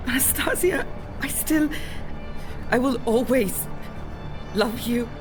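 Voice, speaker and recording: A woman playing a remorseful, dying mother in an emotional audio drama, pleading and halting between phrases. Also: fairly high pitch, music in the background. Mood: weak, loving.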